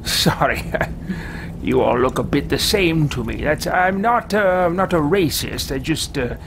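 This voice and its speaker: A man in his thirties plays his game hero aloud with a haughty, dry air. He talks slowly with a lively, swinging pitch. A loud hum of noise runs under his headset recording. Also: creaky. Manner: haughty, dry, joking asides. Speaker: let's play host roleplaying his character. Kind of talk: in-character commentary over gameplay. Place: home studio with bookshelves.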